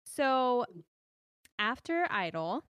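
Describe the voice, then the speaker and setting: A woman's voice: a little high, big pitch swings, very slow, a bit bright, clear, resonant tone, no echo, clean studio sound. A woman hosting a podcast, asking friendly, encouraging questions in a casual interview. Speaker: podcast host.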